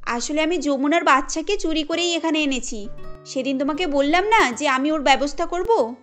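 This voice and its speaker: A woman voicing a jealous, scheming young woman in a Bengali cartoon, speaking in a scornful, sharp-tongued way. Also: high-pitched, normal speed, faint music behind.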